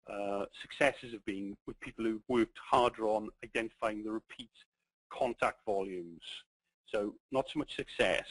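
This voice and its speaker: A middle-aged man with a Welsh accent presenting a business webinar, pausing often with ums and restarting his sentences. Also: lowish voice, husky, no room sound, rough recording. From Wales. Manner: halting, many ums and restarts.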